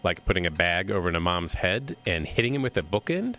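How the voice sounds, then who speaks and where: An actor playing a restrained, uneasy military officer in an audio drama, speaking in a measured, terse way. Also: male voice, deep voice, sing-song, a bit bright, exaggerated voice. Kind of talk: audio horror drama acting.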